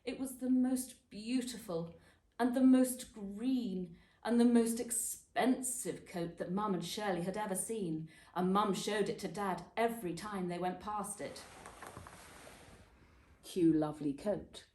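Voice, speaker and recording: A woman reading a children's book aloud as a storyteller, expressively and dramatically, with emphatic pauses and stressed words. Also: mid-range voice, darkish tone. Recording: echoey room.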